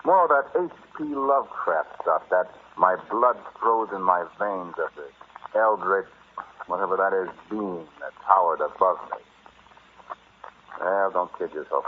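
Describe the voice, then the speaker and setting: An actor playing a brash, sardonic American amateur archaeologist, talking straight at the listener in a 1940s radio drama monologue. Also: male voice, deep voice, moderate pace, phone-quality sound, close to an everyday voice. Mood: scornful, insistent. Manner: conversational, sardonic, dismissive, addressing the listener directly. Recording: noisy, band-limited 1940s radio recording.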